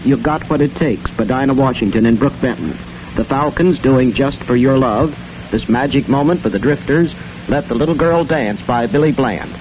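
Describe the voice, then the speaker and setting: An American man working as a radio DJ, pitching mail-order records in folksy, colloquial 1960s patter. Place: radio studio. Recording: noisy 1960 AM radio aircheck.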